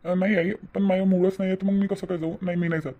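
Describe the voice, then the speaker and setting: A man's voice: sits high, flat delivery, quick pace. A man voicing a moody, lovesick young husband in a cartoon, reluctant and repetitive. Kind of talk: homemade cartoon voice acting. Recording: muffled lo-fi with loud noise.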